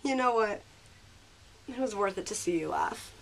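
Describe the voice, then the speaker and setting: A woman plays an independent teenage girl in an audio drama. Her voice sits at a medium female pitch. She is spirited and expressive, with an earnest, caring streak. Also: moderate pace, creaky, slight background noise. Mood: warm, chatty. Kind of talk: amateur audio drama acting.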